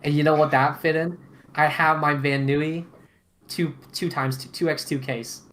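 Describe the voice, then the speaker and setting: A man's voice: a little high, flat delivery, normal speed, a bit bright. A man chatting casually as a podcast panelist, rambling emphatically in slangy, informal language. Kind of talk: casual roundtable chat. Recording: clearly noisy background ambience.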